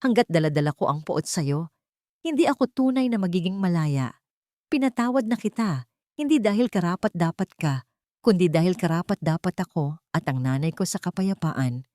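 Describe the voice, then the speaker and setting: A woman's voice: on the low side, lively intonation, normal speed, warm tone, dry recording, clean studio sound, lightly acted. A woman with a Filipino accent voicing an earnest, forgiving grown son in an audio drama, solemn and heartfelt. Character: earnest repentant son, adult man, forgiving.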